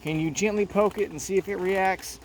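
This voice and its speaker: Man putting on a high, brisk hotline operator. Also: steady tone, slight background noise, natural voice, rough recording. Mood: brisk concern.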